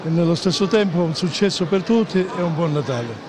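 A man's voice: fairly high pitch, expressive, fairly quick. A man giving a formal greeting into an interviewer's handheld microphone in a shopping mall. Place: crowded indoor shopping mall. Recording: crowded mall chatter.

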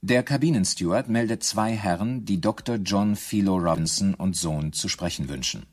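A man's voice: deep voice, normal speed, a little mellow. A man narrating a German radio drama, reading scripted scene-setting in the present tense.

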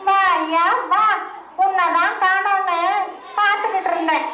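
A woman's voice: extremely high pitch, steady tone, unhurried, sharp tone, exaggerated voice. Woman voicing a weary, scolding village wife. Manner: scolding, lamenting, emotional. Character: weary wife and mother, Vimala, middle-aged. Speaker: puppeteer voicing a character. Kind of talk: live puppet show dialogue. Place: outdoor stage performance. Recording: echoey, dull, faint hiss.

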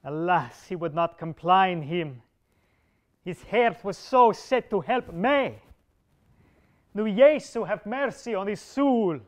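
A loyal, earnest knight read by a man laments in slow, archaic words. The voice is a little high and unhurried. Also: darkish tone. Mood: grieving. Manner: mournful, archaic speech. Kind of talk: reading a medieval tale aloud.

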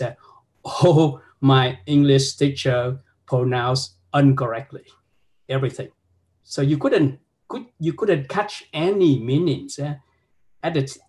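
A man's voice: mid-range voice, a little mellow. A man in his fifties with a Vietnamese accent, a guest instructor talking frankly on a video call from a small home office, with chuckles and tag questions. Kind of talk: casual video call chat. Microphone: laptop webcam mic on video call.

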